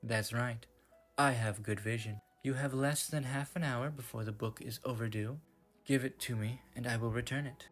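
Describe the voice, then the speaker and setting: A man plays a stern male game enforcer with a mid-pitched voice. He speaks deliberately and dramatically, smug and taunting, over background music. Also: fairly even intonation, lightly acted. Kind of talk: fan-dub game character voice acting.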